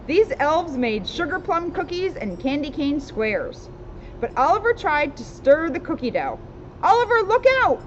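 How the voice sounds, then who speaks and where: A woman reading a children's storybook aloud with casual asides, recorded outdoors. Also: sits high. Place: outdoors in a town street. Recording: dull outdoor recording with loud street noise.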